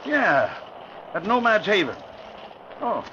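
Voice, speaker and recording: A man playing a brisk police sergeant in an old radio drama, reporting in a matter-of-fact way. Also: high voice, huge pitch swings, exaggerated voice. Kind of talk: 1940s radio acting. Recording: muffled old radio broadcast with faint hiss.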